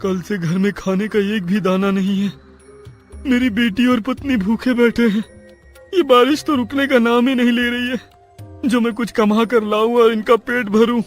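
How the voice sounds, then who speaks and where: A man's voice: very high voice, steady tone, normal speed. A man acting a poor, desperate and loving labourer father in storybook dialogue. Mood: desperate, pleading. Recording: music under the voice.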